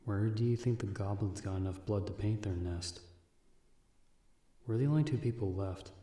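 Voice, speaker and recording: A man playing a calm, seasoned vampire monster hunter in an ASMR audio roleplay, guiding a novice in a measured, instructive, reassuring way. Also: fairly low pitch, lightly acted. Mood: grim, firm. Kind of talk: ASMR audio roleplay, speaking directly to the listener. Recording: echoey room with faint hiss.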